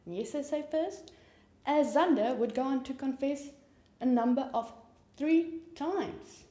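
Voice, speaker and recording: A woman's voice: sits high, a little slow, warm tone. Chatty South African woman, echoey room. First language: Afrikaans. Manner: chatty, informal, rambling asides. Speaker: podcast host. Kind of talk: casual true crime podcast narration. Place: home room with papers on desk.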